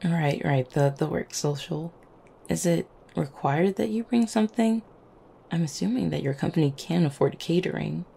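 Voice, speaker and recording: A woman playing an affectionate, playful vampire girlfriend in an intimate ASMR roleplay, teasing gently with small hesitant stammers. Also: low-pitched, moderate pace, vocal fry, slightly rough, heavily acted. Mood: casual, affectionate. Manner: soft-hearted, teasing, hesitant little stammers and chuckles.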